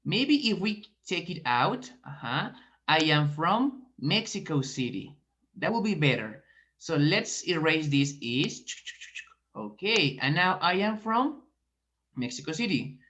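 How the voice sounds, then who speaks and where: Slow, patient woman teacher on a call. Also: deep voice, sing-song, fairly bright tone. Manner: slow, encouraging, questioning. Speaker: teacher trainee giving feedback. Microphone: video call. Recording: dull video call audio.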